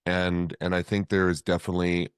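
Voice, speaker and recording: Deep voiced guy hosting a podcast, soft halting way of talking, dry sound. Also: little pitch movement, clean tone, dry recording. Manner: gentle, halting, with many restarts. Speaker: podcast host. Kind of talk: interview conversation.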